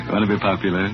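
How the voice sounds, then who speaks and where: An actor playing a knowing, sardonic narrator in a 1940s radio horror drama, addressing the listener intimately. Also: male voice, sits low, vocal fry, heavily acted. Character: sardonic knowing male narrator of a murder tale. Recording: dull old radio recording, loud music.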